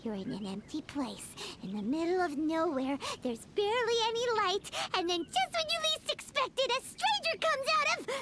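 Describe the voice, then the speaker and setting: Squeaky, excitable little fairy sidekick. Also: female voice, normal speed, few pauses, slight background noise, exaggerated voice. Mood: nervous. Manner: squeaky childlike, dramatic, refers to self by name. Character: tiny floating fairy companion, jumpy, bossy, talks in third person. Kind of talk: English video game voice acting. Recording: loud game music behind.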